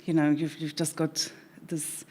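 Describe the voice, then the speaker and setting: A woman film scholar on a panel who speaks in a measured, academic way and repeats words while she thinks. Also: low-pitched, flat delivery, mellow, husky. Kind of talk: panel discussion.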